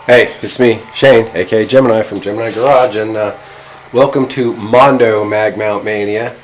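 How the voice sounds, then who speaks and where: A middle-aged man casually hosting a gear test vlog, talking in a halting, informal way. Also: fairly low pitch, steady tone, low-quality recording. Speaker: host of a radio gear review channel. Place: outdoors by a garage door, later a garage workshop. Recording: background ambient noise.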